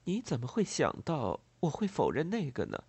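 A man voicing a composed, proud, tender gentlewoman in a storybook, speaking in a measured, earnest and formal way. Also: on the high side, wildly swooping pitch, husky, no echo, voice pushed up, exaggerated voice. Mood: earnest, firm.

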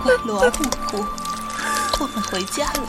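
A woman playing a greedy, scheming mother with a husky voice in an audio drama. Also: high-pitched, huge pitch swings, normal speed, loud music behind the voice. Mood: soothing.

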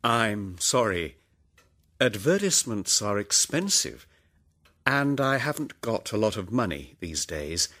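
Quiet, careful impoverished old gentleman voiced by the male narrator, slow, lively pitch, storybook reading. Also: exaggerated voice. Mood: apologetic. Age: older. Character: poor, intelligent grey-haired man, quiet and careful.

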